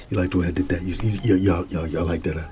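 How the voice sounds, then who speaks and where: A man doing a soft-spoken ASMR roleplay as an easygoing, quirky schoolteacher, often dropping into a whisper and repeating short, folksy, reassuring phrases. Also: low-pitched. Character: quirky, easygoing male schoolteacher addressing his class. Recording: clearly audible background noise.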